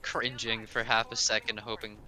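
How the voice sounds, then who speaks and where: A man's voice: fairly low pitch, little pitch movement, normal speed, bright, forceful tone. A man giving casual, joking co-commentary on a video game speedrun.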